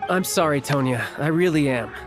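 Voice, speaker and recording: Man playing a breezy young big brother, fairly high-voiced. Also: normal speed, soft background music, natural voice. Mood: apologetic. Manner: breezy, familiar. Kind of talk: animated game cutscene acting.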